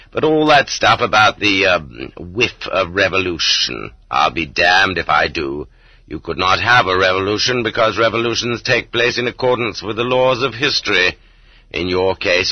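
A man's voice: deep voice, husky, dry recording, dull audio. A man voicing a smug, dogmatic Marxist historian who lectures in a condescending way in an audiobook. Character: smug, pedantic educated male Marxist historian, dogmatically dismissive.

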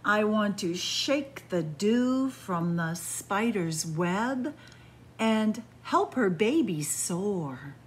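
A middle-aged woman librarian reading a picture book aloud to young children in a library, in a sing-song way with soft hushed asides. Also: medium pitch, expressive, extremely slow, slight background noise. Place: library with bookshelves, indoors.

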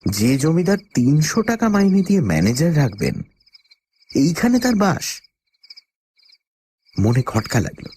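A man playing a proud, plucky traveller who narrates his own ghost story with expressive, deliberate delivery. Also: fairly low pitch, a little hiss or hum. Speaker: first-person narrator. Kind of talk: dramatic audio story narration.

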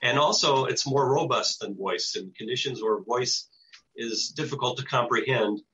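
An older American man giving an informal presentation and answering questions in a halting, hesitant way over a video call. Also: medium pitch, pushed and bright, slight background noise. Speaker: presenter, radio show producer. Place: small home office with bookshelves, indoors. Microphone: computer mic on a video call. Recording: dull lo-fi video call audio.

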